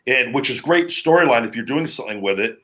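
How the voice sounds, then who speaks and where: A man with a deep, heavy, booming voice, hosting a podcast in a loose, rambling, talkative way. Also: lively intonation, fast, a bit bright. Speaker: podcast host, former pro wrestler. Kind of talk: casual podcast chat.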